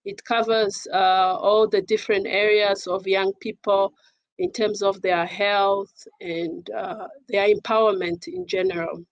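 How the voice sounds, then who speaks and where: A woman speaking as an advocate on a panel in a formal, measured and somewhat hesitant manner. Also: medium pitch, little pitch movement, no room sound, highs cut off. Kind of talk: panel talk introducing herself and discussing.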